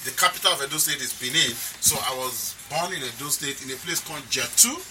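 A man's voice: medium pitch, fast talker, pushed and bright, slight background noise. A man from Nigeria with a Nigerian accent, a comedian talking emphatically as an interview guest, often repeating himself. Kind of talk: casual interview chat.

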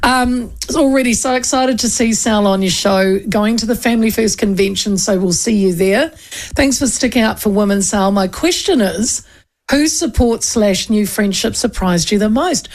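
A woman's voice: medium pitch, normal speed, a little mellow. A woman hosting a casual chat show, bubbly and talkative, laughing often and restarting her sentences. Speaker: radio and online show host. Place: small studio.

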